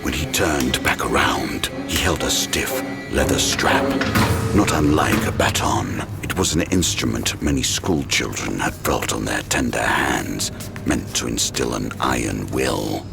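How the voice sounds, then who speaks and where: A man's voice: extremely low pitch, measured pace, creaky, over music. A man reading scripted, dramatic horror narration for an audio drama.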